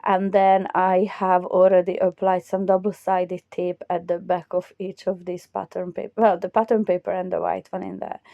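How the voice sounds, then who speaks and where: A woman's voice: medium pitch, dark tone, no echo, muffled recording. A woman, recording a chatty, step-by-step crafting tutorial voiceover while her voice recovers and sometimes strains. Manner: chatty, enthusiastic, step-by-step explaining. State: voice recovering from loss, occasionally strained. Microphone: close voiceover mic.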